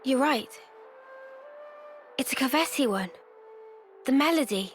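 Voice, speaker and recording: Game role: caring young female soldier, slightly high, gentle, composed, over music. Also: expressive, a little slow. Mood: concerned, steady. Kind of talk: video game cutscene voice acting.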